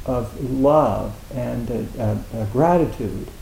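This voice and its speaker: A man giving a dharma talk as a Zen teacher, speaking haltingly with many restarts and a wry, self-mocking humor. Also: medium pitch, creaky, mellow, roomy sound, a little hiss or hum, rough recording. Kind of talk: dharma talk at a meditation retreat.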